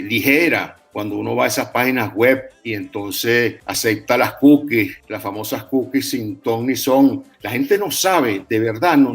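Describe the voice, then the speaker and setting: A man giving conversational expert commentary with a husky voice, colloquial and emphatic, repeating phrases for stress. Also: mid-range voice. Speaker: legal expert, panel speaker.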